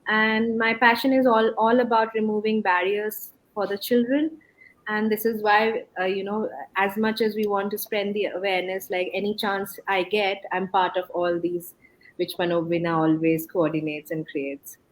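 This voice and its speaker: A woman from India, an inclusion leader at schools, speaking as an interview guest, friendly and quick. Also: medium pitch, level tone, poor audio. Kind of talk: casual interview talk.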